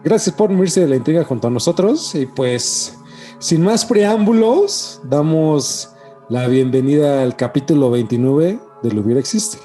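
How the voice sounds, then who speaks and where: A man's voice: fairly high pitch, lively intonation, moderate pace, dark tone, faint music behind. A man with a Mexican accent, hosting and chatting casually on a podcast. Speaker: podcast host. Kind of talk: casual podcast chat with announced intro.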